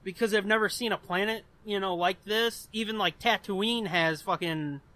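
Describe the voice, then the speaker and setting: High-pitched, bright-voiced man chatting bluntly. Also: normal speed. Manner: profane, blunt, animated explaining. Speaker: podcast host. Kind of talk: casual chat.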